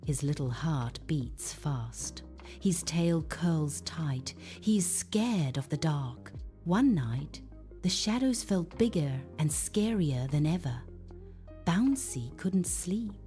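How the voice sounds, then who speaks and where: Slow, mellow woman telling a bedtime story. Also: deep voice, lively intonation. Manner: gentle, hushed, unhurried. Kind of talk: soothing scripted children's bedtime story narration. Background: music.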